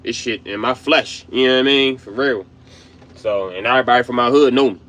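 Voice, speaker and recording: A man's voice: mid-range voice, a little fast, fairly bright tone. A young adult man from Baltimore with a Baltimore accent, hosting his channel in a loose, slangy, profane commentary monologue. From Baltimore, USA. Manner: loose slangy rambling, frequent "you know what I mean"; profane, emphatic. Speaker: channel host.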